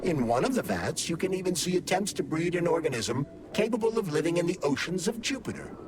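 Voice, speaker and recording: An actor playing a polite, erudite AI glove companion in a video game, speaking formally and articulately in an explanatory way. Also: male voice, a little high, expressive, fairly quick, background noise, natural voice. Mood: informative, concerned. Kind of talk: scripted video game dialogue. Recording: music behind.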